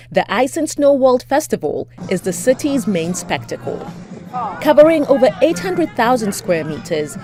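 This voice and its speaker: A woman reporter narrating a scripted news feature in a lively, animated way. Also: fairly high pitch. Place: outdoors in a snowy city.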